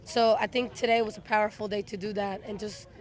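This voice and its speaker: A woman's voice: medium pitch, flat delivery, fairly quick, a faint crowd. A woman speaking earnestly as she answers a news interview. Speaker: walkout participant interviewed.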